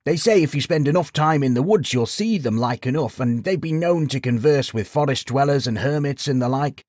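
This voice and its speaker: A man voicing a kindly old village sage in a storybook, gently chiding and wry, explaining patiently in folksy rhetorical questions. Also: mid-range voice, animated, a little fast, no echo, studio quality, voice pushed up, close to an everyday voice. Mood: grave, admiring. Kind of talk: storybook character voice.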